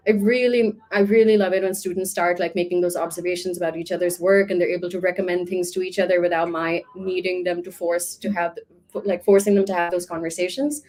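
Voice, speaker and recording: A woman's voice: fairly low pitch, flat delivery, fairly quick, darkish tone. A woman from Pakistan, an art professor, answering interview questions in a reflective, talkative way with many casual fillers.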